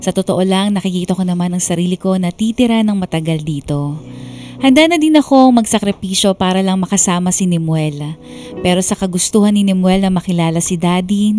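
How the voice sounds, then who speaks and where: A woman's voice: lowish voice, warm tone, lightly acted. A woman playing a reflective young woman narrating her own life story in a Tagalog radio drama. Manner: reflective, earnest. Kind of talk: scripted first-person radio drama narration. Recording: music bed underneath.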